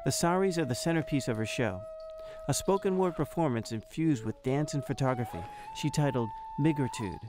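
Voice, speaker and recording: A man's voice: mid-range voice, animated, moderate pace. Man narrating over music. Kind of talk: scripted documentary narration.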